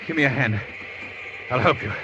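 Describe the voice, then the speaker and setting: A man acting a resolute, cool-headed gentleman sleuth in an old radio drama. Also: mid-range voice, normal speed, natural voice. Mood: urgent. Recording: muffled, noisy old radio recording.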